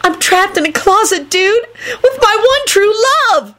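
A woman voicing a foul-mouthed, hysterical young man who whines and shouts in slang in an audiobook story. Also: very high voice, lively intonation, unhurried, a little hiss or hum, voice pushed up, full character voice. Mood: gleeful. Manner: shouty slangy whining, says dude constantly.

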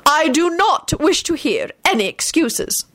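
A woman's voice: high voice, sing-song, a little slow, fairly bright tone, pitched above the performer's own voice, exaggerated voice. A woman reading a story as a stern, icy elderly headmistress with a clipped, formal, severe manner. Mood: icy, sharp. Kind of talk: audiobook character voice.

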